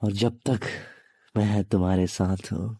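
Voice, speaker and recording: Low, slow-talking possessive demon played by a man. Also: fairly even intonation, dark tone, breathy edge, no room sound. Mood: possessive, commanding. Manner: slow, taunting, halting delivery with long pauses. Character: menacing, possessive male demon, captive turning predator. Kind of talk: intimate audio roleplay monologue addressed to listener.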